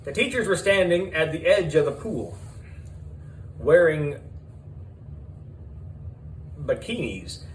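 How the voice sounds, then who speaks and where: Male children's audiobook reader: excitable, lively swings in pitch, slow measured pace. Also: a little hiss or hum, poor audio. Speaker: audiobook narrator. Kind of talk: animated children's story narration.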